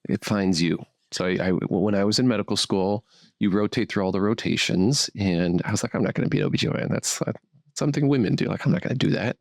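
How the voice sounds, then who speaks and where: A man's voice: lowish voice, fast talker, gravelly, a little mellow, no echo, studio quality. A man in his thirties, born in Brazil and raised in Miami, telling his story as a guest on a podcast in a chatty, upbeat way with self-deprecating humor. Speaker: fertility doctor, guest. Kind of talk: relaxed podcast interview.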